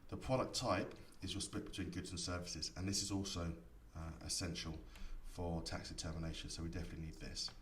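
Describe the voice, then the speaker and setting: Deep voiced guy doing a software walkthrough, explains step by step, roomy echo and a bit of background noise. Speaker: software instructor. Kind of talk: screen-recorded tutorial explanation. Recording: echoey room, distant mic, faint noise.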